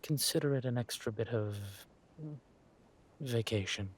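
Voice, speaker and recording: A man playing a flirtatious, smug villain king in a roleplay audio drama, talking to his spouse in a husky, teasing, languid way. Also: mid-range voice, lightly acted. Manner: teasing, languid, amused, drawn-out phrasing with murmured asides.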